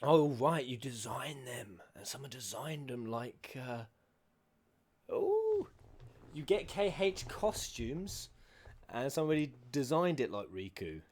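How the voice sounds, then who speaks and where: Excitable man reacting to a game. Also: medium pitch, takes it slowly. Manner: excitable, swearing, shouting at the screen, dropping to whispers. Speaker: reaction video host. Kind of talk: live reaction commentary. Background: game cutscene audio and music.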